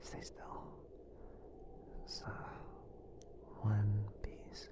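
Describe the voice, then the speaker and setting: A man playing a gentle, caring wizard healer in an intimate ASMR roleplay, murmuring soothingly with hesitant pauses. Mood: careful, focused.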